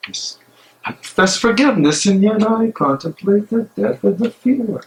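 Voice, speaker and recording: A man in a dramatic stage reading playing a tormented, guilt-ridden German theologian, speaking haltingly and theatrically. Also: sits high, lively intonation, slight background noise. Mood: anguished self-reproach.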